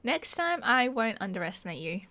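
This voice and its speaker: A woman's voice: on the high side, big pitch swings, brisk, sharp tone, no room sound, exaggerated voice. A young woman with an Australian accent playing a cheerful, teasing partygoer in a casual ASMR roleplay, chatting in playful slang. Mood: playful, upbeat. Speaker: roleplay voice actor. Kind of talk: casual ASMR roleplay, one side of a chat.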